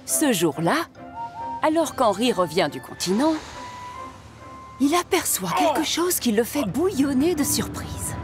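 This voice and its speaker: A woman narrates a children's story in scripted storybook style. Her voice is fairly high and very lively in pitch. Music plays underneath. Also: a little slow. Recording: music behind.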